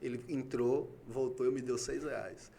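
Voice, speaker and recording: A man's voice: mid-range voice, steady tone, unhurried, creaky, warm tone. A man from Salvador with a Brazilian Bahian accent, hosting a casual conversation in an emphatic, colloquial way and acting out quoted dialogue. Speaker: podcast host.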